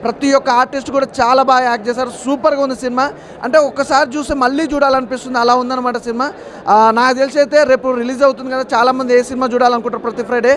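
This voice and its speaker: A man's voice: extremely high pitch, flat delivery, very fast, fairly bright tone. A man giving an enthusiastic, informal reaction to camera as a member of the public, speaking emphatically. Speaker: moviegoer giving a film reaction.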